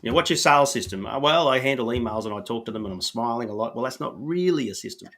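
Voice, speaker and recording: A man's voice: mid-range voice, lively intonation, fast, a bit bright. A man from Australia with an Australian accent, hosting a podcast in an upbeat, coaching way and often saying "you know". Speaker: podcast host. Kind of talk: casual podcast chat.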